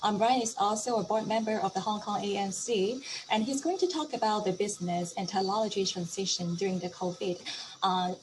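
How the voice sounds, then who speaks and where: A woman hosting an online event, formally introducing the next speaker. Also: medium pitch. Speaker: event host. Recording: audible background noise.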